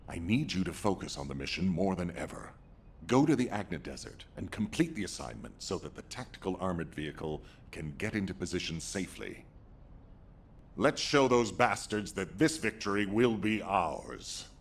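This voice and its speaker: An actor playing a grave, resolute military commander in a video game, with a gravelly, deliberate, authoritative delivery. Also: male voice, sits low, big pitch swings, slight background noise, full character voice. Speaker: military commander giving mission briefings.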